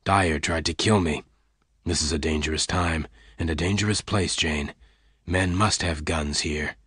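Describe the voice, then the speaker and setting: A man doing a low, quiet, hard gunman. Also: fairly even intonation, unhurried, breathy edge, no echo, clean studio sound, full character voice. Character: gruff calm male gunman.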